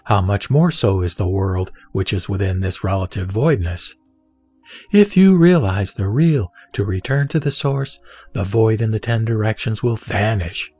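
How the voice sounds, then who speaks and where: A man reading the words of the Buddha as a calm, wise teacher, in a measured and solemn scripted recitation. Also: on the low side, husky, no room sound, faint music behind.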